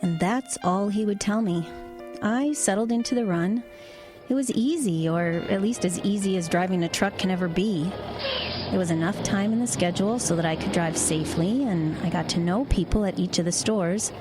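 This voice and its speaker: A woman's voice: mid-range voice, moderate pace, music in the background, natural voice. A woman playing a no-nonsense divorced truck driver in an audio drama, speaking in a direct, dry, conversational way. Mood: reflective. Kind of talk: audio drama acting and first-person narration.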